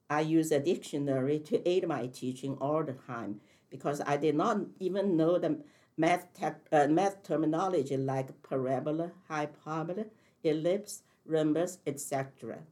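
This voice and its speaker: Low-voiced older woman with a Chinese accent. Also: mellow. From China. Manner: careful, measured delivery. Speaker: math teacher and coach. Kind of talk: interview answers, read from prepared text.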